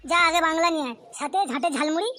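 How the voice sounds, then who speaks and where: Squeaky high cartoon slacker guy, acted out, cocky, sweary, joking with his mates. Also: rapid-fire, noisy background, natural voice. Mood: brash joking. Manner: foul-mouthed, swaggering. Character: brash, crude, boastful young slacker among his mates. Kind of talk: comic cartoon voice acting.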